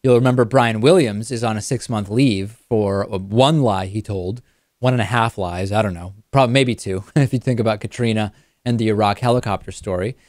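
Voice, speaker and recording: A man's voice: on the low side, normal speed, a little mellow, no room sound, clean studio sound. A man hosting a talk show, giving conversational political commentary.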